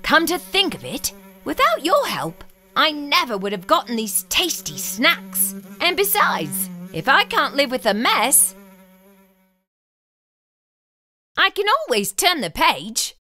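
A woman's voice: high voice, huge pitch swings, unhurried, pushed and bright, full character voice. A woman voicing a fussy, neat-freak young male frog who exclaims and frets dramatically in a storybook reading. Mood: contented. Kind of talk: storybook read-aloud acting.

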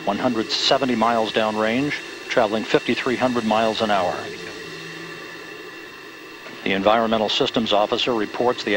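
A man giving live, measured launch commentary in a control-center broadcast, stating altitude, speed and timing. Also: fairly low pitch. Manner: steady, measured, detail-heavy. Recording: noisy broadcast audio with rocket roar.

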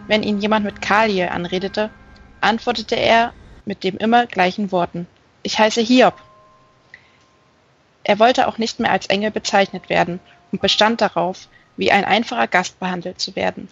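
A woman reading literary narration as an audiobook, measured and careful. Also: medium pitch, dull audio. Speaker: audiobook reader. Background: music.

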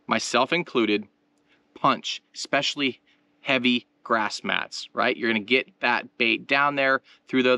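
A man's voice: mid-range voice, bright, forceful tone, dry recording. A man hosting an instructional video, explaining gear and technique in an informal, conversational way, speaking straight to viewers. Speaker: host of a fishing tutorial channel. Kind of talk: casual instructional demonstration talk.

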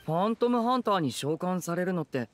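A man voicing a polite, clever young stage magician in an anime game, explaining things in a measured way. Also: high voice, animated, slight background noise. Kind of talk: Japanese anime game voice acting. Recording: studio voice with music underneath.

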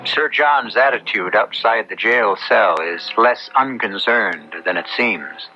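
A man hosting and narrating a radio drama in a grave, deliberate, scripted style. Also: fairly low pitch, lively intonation. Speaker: host and narrator. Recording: dull old radio recording. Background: music.

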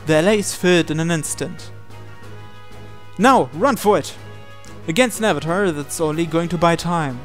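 A man's voice: fairly high pitch, fairly even intonation, a little fast, few pauses. A man reading visual novel narration aloud in a dramatic storytelling style. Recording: game music playing behind. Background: video game music.

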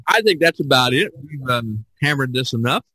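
A man hosting a podcast in casual chat, speaking haltingly and repeating his phrases. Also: medium pitch, lively intonation, bright, forceful tone, breathy edge, low-quality recording. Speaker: podcast host.

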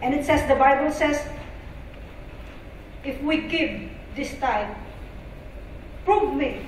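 A middle-aged woman with a Filipino accent giving an earnest, halting church testimony with scripture. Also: high voice. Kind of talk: personal testimony and scripture reading. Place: church auditorium stage. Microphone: handheld microphone through PA, far camera. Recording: echoey hall PA, distant, faint noise.

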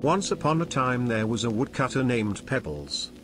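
A man's voice: mid-range voice, lively intonation, moderate pace. A man narrating a scripted fairy tale as a storyteller.

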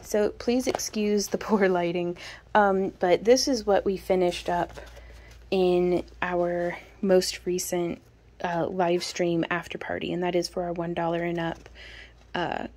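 Chatty woman with a gravelly voice. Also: lowish voice, level tone, measured pace, a little mellow. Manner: chatty, rambling, laughs often. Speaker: craft shop owner. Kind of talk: casual product show-and-tell. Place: home craft workspace, indoors.

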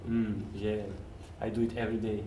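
A man with a Serbian accent talks casually and haltingly, feeling for his words in English. His voice is picked up away from the mic in a reverberant room, with faint noise behind it. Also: fairly low pitch, little pitch movement, mellow, recorded from a distance. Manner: hesitant, searches for words in non-native English. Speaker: interview guest, parkour coach. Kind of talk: casual interview conversation. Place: large empty café-like room, at a table indoors.

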